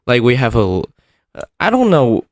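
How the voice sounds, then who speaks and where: A young man with a Taiwanese accent co-hosting a podcast in casual conversation, speaking haltingly and repeating phrases. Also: mid-range voice, huge pitch swings, no echo, studio quality. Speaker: podcast co-host.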